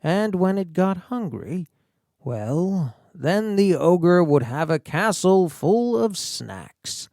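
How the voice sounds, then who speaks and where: A man reading a fairy tale as an audiobook narrator, in a theatrical, deliberate storytelling manner with dramatic pauses. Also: high voice, sing-song, a little mellow, dry recording, studio quality.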